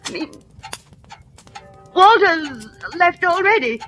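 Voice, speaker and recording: A woman's voice: very high-pitched, very animated, pushed and bright, soft background music, heavily acted. A frightened landlady. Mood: nervous. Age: middle-aged. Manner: halting, breathless, evasive. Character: nervous, frightened middle-aged landlady. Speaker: landlady and mother. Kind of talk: 1940s radio acting.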